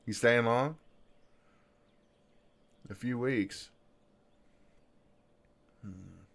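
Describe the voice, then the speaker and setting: A man with a Southern US accent playing a gentle, flirtatious farmhand in an intimate ASMR roleplay, with an easygoing, folksy, soothing drawl. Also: medium pitch, drawn-out words, gravelly, heavily acted. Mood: flirtatious, soft.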